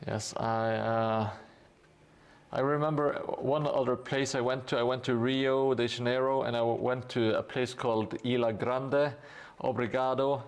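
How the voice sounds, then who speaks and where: A man chatting on a live stream as he paints, unhurried and easygoing. His voice has a gravelly fry, and he pauses often with little fillers and restarts. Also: medium pitch. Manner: relaxed, hesitant with frequent fillers, thanks viewers. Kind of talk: casual live-stream chat while painting.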